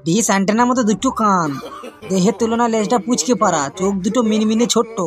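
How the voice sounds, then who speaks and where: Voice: mid-range voice, a little fast, over music, natural voice. A performer voicing a cocky, mischievous schoolboy in a comic cartoon, boastful and recitational, with a Bengali accent. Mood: confident reciting.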